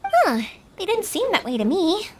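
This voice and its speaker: Very high, cheerful young fireworks maker. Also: female voice, huge pitch swings, moderate pace, a little hiss or hum, full character voice. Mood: friendly. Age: young adult. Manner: upbeat, reassuring, breezy. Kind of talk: scripted video game dialogue.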